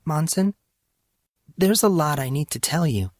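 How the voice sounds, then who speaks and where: Male narrator as a fragile teenage girl, high-shifted voice, plaintive, hesitant, wry, storybook drama. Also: lively intonation, unhurried, a little mellow, no echo, clean studio sound. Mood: hesitant, troubled.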